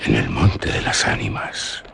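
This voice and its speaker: A man's voice: a little slow, a bit bright, a little hiss or hum, close to an everyday voice. An actor with a Spanish accent from Spain playing a proud but fearful young nobleman and hunter in a radio drama, dramatic in manner. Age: young adult. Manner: dramatic, swings from boastful to fearful.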